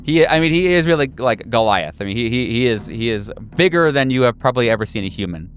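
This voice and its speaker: A man narrating a horror tabletop game as game master, improvising haltingly and searching for words. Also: mid-range voice, expressive. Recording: music behind. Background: clear background music.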